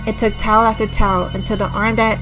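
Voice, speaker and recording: A woman streamer reading a visual novel aloud with a slightly raspy voice, restarting over stumbled words, clearing her throat often and breaking off into disgusted asides to her chat. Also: medium pitch, level tone, quick pace. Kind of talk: slow dramatic read-aloud with casual asides to chat. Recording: music playing clearly behind the voice.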